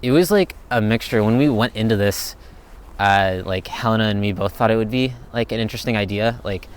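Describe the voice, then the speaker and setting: Laid-back low-voiced young man. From United States. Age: young adult. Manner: laid-back, rambling, thinks aloud. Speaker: vlogger answering viewer questions. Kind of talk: casual Q&A chat.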